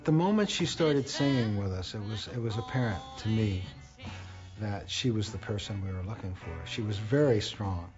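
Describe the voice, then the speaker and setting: Calm older man, low voice. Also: moderate pace, highs cut off, music in the background. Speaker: band member, interviewee. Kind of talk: documentary interview.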